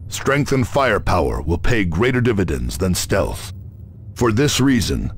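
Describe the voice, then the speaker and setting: An actor playing a grave space marine officer, giving a formal, measured and authoritative military briefing. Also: male voice, low-pitched, slight background noise. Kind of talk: scripted military briefing narration.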